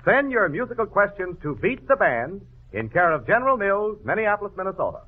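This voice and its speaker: A man's voice: high voice, sing-song, brisk. A man announcing in 1940s radio sponsor style, with upbeat salesmanship. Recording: tinny vintage radio broadcast.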